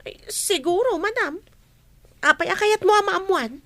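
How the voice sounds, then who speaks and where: A woman's voice: extremely high pitch, animated, moderate pace, fairly bright tone, dry recording, exaggerated voice. A woman with an Ilocano accent playing an outspoken, principled housemaid in a radio comedy drama, plain-spoken and reproving. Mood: guarded. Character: outspoken, principled housemaid answering back to madam. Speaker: voice actor.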